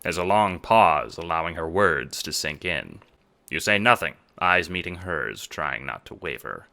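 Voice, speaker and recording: Deep forceful noir narrator, man. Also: expressive, vocal fry. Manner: dramatic, measured noir storytelling. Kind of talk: second-person noir narration.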